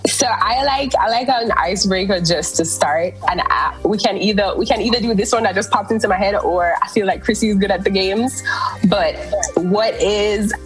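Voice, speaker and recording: Brief: woman from Jamaica, podcast host, loose and giggly, mid-pitched, music faint underneath. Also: moderate pace, low-quality recording. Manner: chatty and giggly, with many fillers. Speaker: podcast host and regional admin. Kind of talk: casual group video chat.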